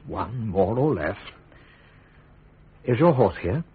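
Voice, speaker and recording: An actor playing a principled, dry-witted middle-aged statesman and firm father in a measured radio drama style. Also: fairly low pitch, big pitch swings, a little hiss or hum. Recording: tinny, band-limited radio drama recording.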